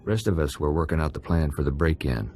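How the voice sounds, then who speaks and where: A man playing a tough, laconic, suspicious prison gang convict in a game, gruff, clipped and wry. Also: rumbling low, animated, brisk, darkish tone, faint music behind. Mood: wary, blunt. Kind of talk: game cutscene voice acting.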